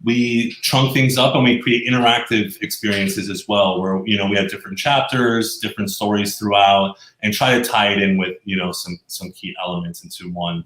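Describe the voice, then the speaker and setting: A man answering interview questions in a casual explanatory way, agreeable, with a hesitant, halting delivery. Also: fairly low pitch, steady tone, a bit bright. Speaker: interview guest, animated explainer video professional. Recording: echoey, roomy sound.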